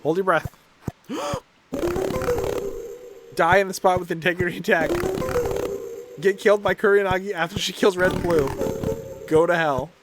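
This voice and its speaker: High-pitched irreverent man streaming a game. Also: big pitch swings, unhurried, pauses often, background noise. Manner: irreverent, sweary, amused by absurd text. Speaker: streamer playing a video game. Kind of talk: reading game text aloud with live reactions.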